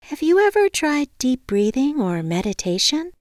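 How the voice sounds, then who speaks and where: A pleasant female phone dispatcher, put on by a woman narrator, chirpy and endlessly patient. She speaks in a raised pitch with scripted politeness, recorded dry. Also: moderate pace, dry recording. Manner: polite, calm, reciting like a script. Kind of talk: acted audiobook dialogue.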